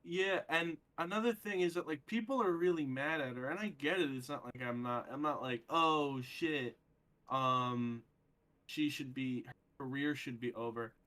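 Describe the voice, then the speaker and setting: A man's voice: a little high, normal speed, pushed and bright. A young man of twenty in casual live-stream chat, rambling earnestly with many fillers. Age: 20s.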